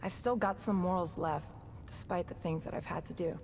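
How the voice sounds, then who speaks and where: A woman's voice: on the low side, brisk, a little mellow, a little hiss or hum, close to an everyday voice. Woman as a tough agent in long reverb. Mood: regretful. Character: tough, candid female agent with a conscience. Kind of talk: video game voice acting.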